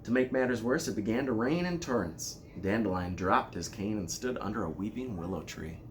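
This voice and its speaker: A man with a fairly low voice reads a children's story aloud. His delivery is deliberate and expressive, and there is noticeable noise behind him. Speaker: elementary school principal reading aloud.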